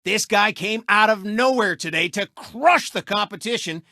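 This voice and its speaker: A man's voice: high-pitched, moderate pace, pushed and bright, no echo, clean studio sound. A man voicing a cocky, egotistical race car who hosts a TV racing show, with boastful, mocking showboat delivery in a video game. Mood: smug boasting. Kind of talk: animated video game sportscast patter.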